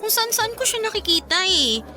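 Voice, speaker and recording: Bright, high-pitched, brash young Filipina bandmate. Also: female voice, sing-song, normal speed, exaggerated voice. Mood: suspicious, teasing. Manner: teasing, blunt, exaggerating. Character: brash, suspicious young female bandmate and best friend. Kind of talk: Filipino radio drama acting.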